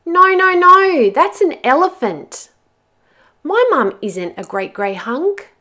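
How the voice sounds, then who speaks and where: Woman voicing a high, exasperated little monkey child. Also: sing-song, pushed and bright, heavily acted. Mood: indignant. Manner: emphatic, drawn-out storybook delivery.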